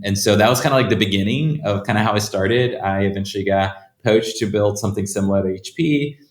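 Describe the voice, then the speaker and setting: A man from Brazil, a guest on an interview podcast, telling his career story in a loose, conversational way and often repeating words as he restarts. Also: fairly low pitch. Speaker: guest, startup founder. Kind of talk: casual interview answers. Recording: echoey room.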